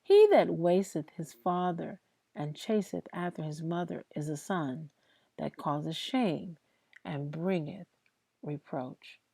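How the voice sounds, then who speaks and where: Woman reading the bible out loud real slow, soft dark tone, dry close sound. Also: lowish voice, gravelly, slightly rough, no room sound. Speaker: host reading scripture aloud. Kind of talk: slow devotional Bible reading aloud, with informal asides to listeners.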